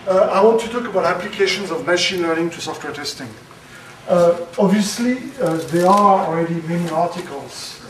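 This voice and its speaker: A man giving a conference talk, loud and halting, with many fillers. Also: sits high, noisy background. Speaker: conference speaker. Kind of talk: academic presentation. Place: lecture room. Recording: very echoey, from far off.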